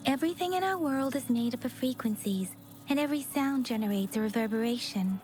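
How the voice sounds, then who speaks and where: A woman voicing a gentle, earnest young female guide in an anime-style game, courteous and measured in explaining. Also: fairly high pitch, expressive, lightly acted. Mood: polite explaining. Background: game music.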